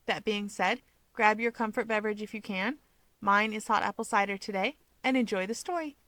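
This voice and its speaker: A woman's voice: medium pitch, brisk, fairly bright tone, no echo. A woman hosting and narrating a story in a scripted read-aloud style. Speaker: channel host and fan fiction reader.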